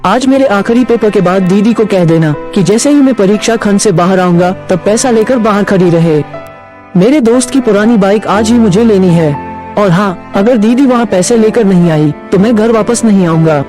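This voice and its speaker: Insistent teenage son for a cartoon moral tale, played by a man: high young voice, faint music underneath. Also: normal speed, a little mellow, lightly acted. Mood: stubborn, demanding. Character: stubborn demanding teenage schoolboy son from a poor family. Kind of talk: animated moral story dialogue.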